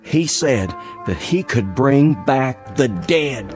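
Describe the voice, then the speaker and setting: An actor playing a chatty, nervous Old West bartender with a folksy American drawl in an audio drama. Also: male voice, mid-range voice, expressive, slow, music in the background. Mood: eager. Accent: American Western drawl. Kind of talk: audio drama comic Western acting.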